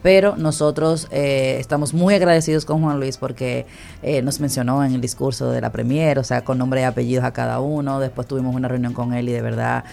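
A woman's voice: very deep voice, fairly even intonation, a little fast, gravelly, dark tone. A woman answering interview questions about her animation studio, hesitant and full of fillers.

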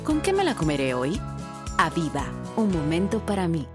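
A woman's voice: fairly low pitch, sing-song, normal speed, loud music behind the voice. A woman voicing a playful snack commercial. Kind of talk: scripted commercial read.

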